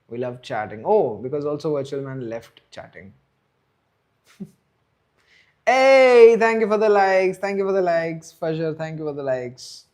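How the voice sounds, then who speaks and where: A man, chatting casually on a live stream, rambling and answering viewers' chat. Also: on the high side. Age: 30s. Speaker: livestream host.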